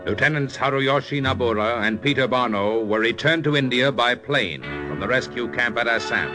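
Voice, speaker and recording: A man's voice: mid-range voice, expressive, normal speed, over loud music. A man announcing in scripted, formal 1940s radio style on a vintage recording.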